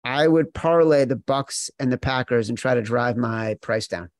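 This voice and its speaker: A man's voice: mid-range voice, normal speed, no room sound, clean studio sound. A man hosting a sports betting podcast, talking in an emphatic, teasing, mock-exasperated way. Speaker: podcast host.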